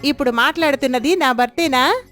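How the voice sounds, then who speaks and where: Sharp, scolding village wife in a storybook, voiced by a woman; slightly high voice over light music. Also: a little fast. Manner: scolding, questioning.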